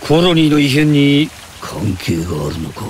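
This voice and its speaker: An actor voicing a laid-back, suave pirate captain in a Japanese fighting game. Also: male voice, fairly high pitch, big pitch swings, moderate pace, slight background noise. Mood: cool, wry.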